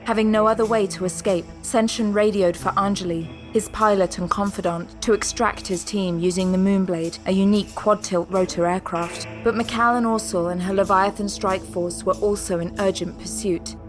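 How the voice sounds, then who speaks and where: A woman's voice: mid-range voice, fairly even intonation, normal speed, hardly any pauses. A woman reading scripted dramatic narration for an audio drama. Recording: music under the voice. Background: background music.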